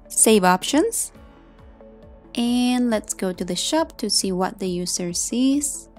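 Woman narrating a tutorial over music. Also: mid-range voice. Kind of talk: instructional screen-recording narration. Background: background music.

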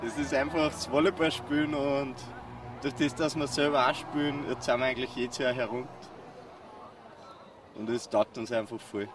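A young man with an Austrian accent, a camper answering questions in a relaxed, halting way. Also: mid-range voice, distant mic, background noise. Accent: Austrian German. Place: inside a tent outdoors. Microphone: handheld mic.

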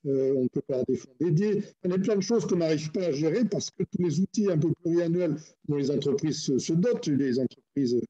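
A man's voice: fairly high pitch, expressive, fairly quick, hardly any pauses, dark tone, dull audio. A man speaking as a local government official on a panel, giving his opinion while searching for words.

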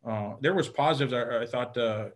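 Middle-aged male coach, frank and plain-spoken, stumbles and repeats words, postgame video call. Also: mid-range voice. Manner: halting, repeats words, many fillers. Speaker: head hockey coach. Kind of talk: postgame press conference answers. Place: indoor room in front of team backdrop. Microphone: webcam on video call.

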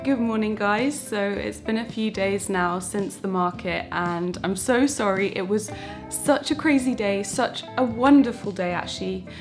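A woman's voice: medium pitch, a little slow, hardly any pauses. Young woman vlogging in her little bakery kitchen, chatty and rambly, music in the background. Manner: chatty, warm-hearted, many fillers and restarts. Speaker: vlogger and small bakery owner. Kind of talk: casual vlog talk to camera. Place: small home bakery kitchen, indoors.

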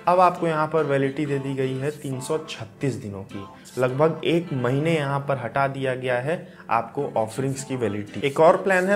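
A young man in his twenties hosts a tech news explainer from a small home studio, talking straight to camera in an upbeat, steady way. His voice sits at an ordinary middle pitch and is picked up close by a lapel mic. Faint music plays underneath. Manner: upbeat direct-to-camera presenting. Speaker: tech channel host. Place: indoor home studio with lit gaming setup.

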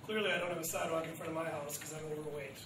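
A man's voice: on the high side, steady tone, rapid-fire. A man on a city council giving a blunt, wry, opinionated view at a public meeting. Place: council chamber. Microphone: far room camera. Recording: echoey room from far off with background noise.

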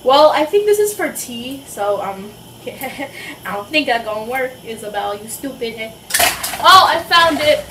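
A young woman with an excitable, childlike, playful way of talking, chatting casually as she cooks for a vlog. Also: sits high, a little slow, noisy background. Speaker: amateur cooking vlogger. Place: home kitchen, indoors.

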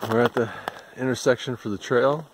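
A man's voice: medium pitch, fairly even intonation, a little fast, noisy background. Older man narrating a snowy hike. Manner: terse, plain-spoken updates between breaths. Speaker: hiker narrating his climb. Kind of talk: casual trail narration. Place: snowy forest trail outdoors in winter. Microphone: handheld camera at arm's length. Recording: loud crunching and rustling behind.

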